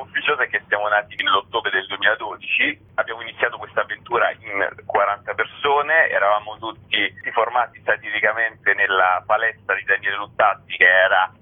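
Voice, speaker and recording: A man being interviewed as a guest, explaining his work in a chatty way with many filler words. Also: on the low side. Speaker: interview guest, satirical writer. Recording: narrow tinny phone line on radio.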